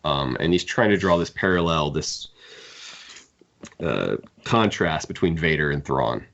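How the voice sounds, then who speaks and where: Deep-voiced man on a casual podcast, slightly muffled. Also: lively intonation, unhurried, gravelly, a bit bright. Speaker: podcast host. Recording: dull, lo-fi sound.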